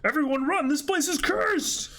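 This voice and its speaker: An excitable young pirate crewmate, acted out by a man, pitched far up into a shrill register. He exclaims in animated swoops, like a manga character. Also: normal speed. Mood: panicked.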